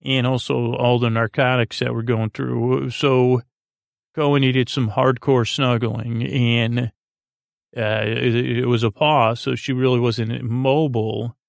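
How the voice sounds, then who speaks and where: A tired man hosting a sleep podcast, giving a meandering, halting bedtime monologue in which he often repeats words. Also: medium pitch, flat delivery, gravelly, dry recording. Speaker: podcast host. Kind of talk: rambling bedtime monologue to help listeners sleep.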